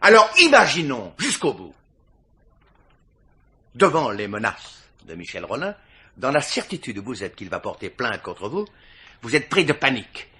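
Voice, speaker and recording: A man's voice: mid-range voice, wildly swooping pitch, moderate pace, pauses often, bright, forceful tone, breathy edge, exaggerated voice. An actor playing a shrewd male investigator in a French radio mystery, theatrical, methodical and insistent as he accuses. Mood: pressing accusation. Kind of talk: French radio detective drama acting.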